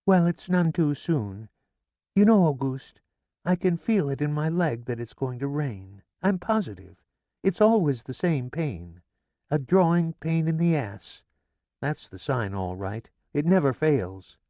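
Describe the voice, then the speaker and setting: A man's voice: a little high, big pitch swings, measured pace, warm tone, no room sound, pitched above the performer's own voice. A man voicing a fussy, complaining middle-aged mother who frets in a whiny tone. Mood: fretful. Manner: whiny, fretful.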